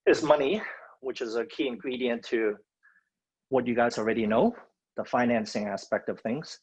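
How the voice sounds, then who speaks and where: A man from Hong Kong gives a relaxed, filler-laden guest talk over a video call. His voice sits at an ordinary pitch, and the sound is dull and lo-fi. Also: normal speed, slightly rough. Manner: loose and conversational, many fillers. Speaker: guest speaker. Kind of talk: webinar presentation.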